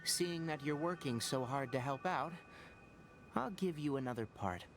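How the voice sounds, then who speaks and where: An actor playing a calm, measured young ranger scholar who explains things like a lecturer. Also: male voice, medium pitch, music in the background, close to an everyday voice. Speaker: ranger character. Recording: game audio with background ambience.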